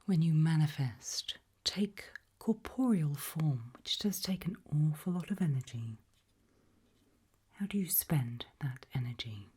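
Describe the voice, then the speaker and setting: A British woman whispering an ASMR roleplay in a breathy voice, putting slow, deliberate questions with long pauses. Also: low voice, lively intonation, mellow.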